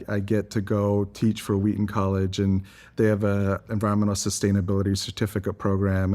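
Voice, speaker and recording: A man from Singapore giving earnest, articulate, reflective answers as an interview guest. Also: fairly low pitch. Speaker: interview guest, environmental advocate.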